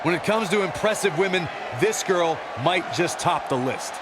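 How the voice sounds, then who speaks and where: A man's voice: high voice, animated, moderate pace. A man playing an emphatic, opinionated wrestling commentator in a video game. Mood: impressed, loud. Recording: noisy arena crowd behind.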